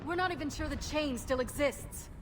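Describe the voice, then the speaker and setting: A woman's voice: sits high, normal speed, noisy background, natural voice. A woman playing a battle-hardened, defiant army lieutenant in a video game, urgent and commanding. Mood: tense, defiant. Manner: urgent, barking orders. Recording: music and gunfire behind the voice.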